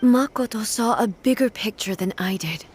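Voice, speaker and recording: A woman playing a composed, dignified, reflective immortal ruler in a video game, formal and measured. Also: fairly high pitch, a little mellow, soft background music, lightly acted. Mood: reflective, solemn. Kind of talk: video game character acting.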